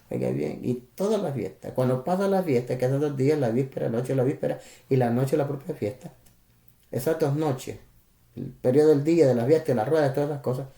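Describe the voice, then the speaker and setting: A man's voice: a little high, warm tone, echoey room. A man from El Salvador with a Salvadoran Spanish accent, a pastor preaching in a conversational way on a live stream, with rhetorical questions and asides to his listeners.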